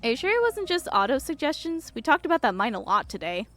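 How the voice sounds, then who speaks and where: A woman voicing a blunt, skeptical young woman in a visual novel, quick to confront. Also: high voice, big pitch swings, fairly bright tone. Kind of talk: visual novel character reading. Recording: music behind the voice.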